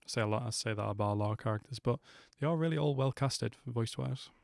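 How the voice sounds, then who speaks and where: A man's voice: medium pitch, fast, vocal fry, a little mellow, dry recording. A man in his twenties giving slangy, offhand reaction commentary into a close desk microphone. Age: 20s. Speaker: reaction video host.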